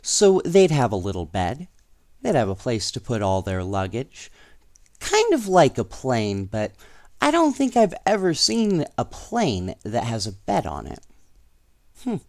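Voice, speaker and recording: A man reading children's stories aloud in an expressive, playful way, with casual asides to young listeners. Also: medium pitch, measured pace, dry recording, clean studio sound. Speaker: storyteller.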